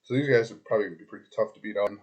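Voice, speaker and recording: Hoarse man talking through a screencast. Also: on the low side, very fast. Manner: talks to the client directly, loose and chatty, thinks aloud with many ums and restarts. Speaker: web marketing consultant. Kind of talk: casual screen-recorded walkthrough.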